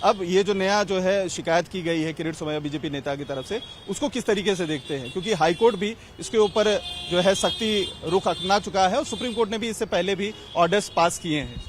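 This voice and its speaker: High-pitched male street reporter, Indian accent. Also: brisk, hardly any pauses. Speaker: field news reporter. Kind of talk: street vox pop interviewing. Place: outdoors on a city street near a mosque. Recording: outdoor street, faint traffic noise.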